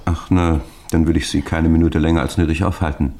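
Low male voice, gaunt older swindler, courteous, brisk, businesslike, radio drama. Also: level tone, warm tone. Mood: polite, probing. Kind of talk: 1960s German radio drama acting.

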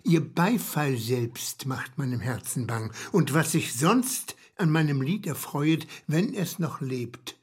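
An actor playing a grandiose, plaintive poet in a comic radio play, with a northern Low German accent. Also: male voice, expressive, slow, hardly any pauses, slightly rough, exaggerated voice. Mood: lofty lament. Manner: grandiose, theatrical. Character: pompous, plaintive male poet lamenting the decline of culture. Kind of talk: comic radio play dialogue with declaimed verse.

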